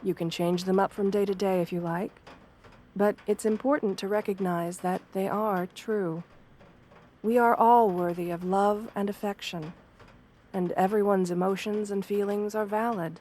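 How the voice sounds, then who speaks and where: Soft-spoken, gentle wolf-girl therapist played by a woman. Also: mid-range voice, fairly even intonation, a little hiss or hum, natural voice. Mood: patient, reassuring. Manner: soft-spoken, soothing, measured, reassuring. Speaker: therapist character speaking to a patient. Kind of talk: ASMR roleplay, guided affirmations.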